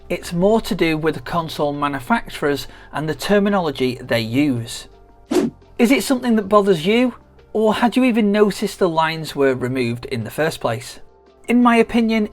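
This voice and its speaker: A gaming channel host giving a measured, explanatory, scripted narration for a video essay. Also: medium pitch. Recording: music behind the voice. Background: background music.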